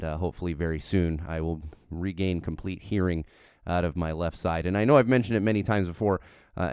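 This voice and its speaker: Guy doing a solo podcast, kinda nasal from an ear infection, lowish voice, smooth dry studio mic, rambles and corrects himself. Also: a little mellow, no echo. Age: adult, married father. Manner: rambling, self-correcting, candid. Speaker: podcast host. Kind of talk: casual solo podcast talk. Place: home studio. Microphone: studio microphone with headphones.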